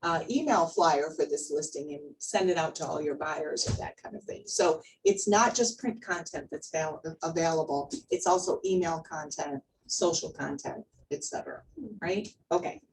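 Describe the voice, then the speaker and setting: A woman's voice: low voice, expressive, moderate pace, creaky. A woman leading an informal workplace class, talking conversationally and emphatically, checking in with the group and repeating words to drive points home. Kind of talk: informal training presentation with questions to the group. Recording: distant mic, faint background noise.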